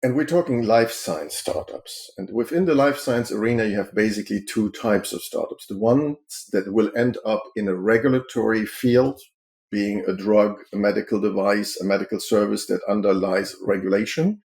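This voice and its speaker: A middle-aged man from Germany with a lowish voice gives long interview answers. He explains step by step in a measured way, with ums and uhs between his points. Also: a little mellow. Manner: measured, explanatory, with frequent fillers. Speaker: healthcare consultant.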